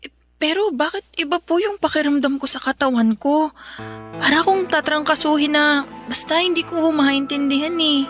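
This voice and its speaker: A woman's voice: high-pitched, normal speed, a bit bright, soft background music. A woman playing a polite, anxious, ailing young office worker in a Filipino radio drama, with a Filipino accent. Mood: weak, puzzled. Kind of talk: Tagalog radio drama acting.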